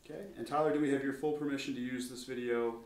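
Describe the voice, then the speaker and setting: A man giving brisk, procedural instructions before a recorded interview. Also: medium pitch, fairly even intonation, darkish tone, reverberant. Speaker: recording crew member giving setup instructions.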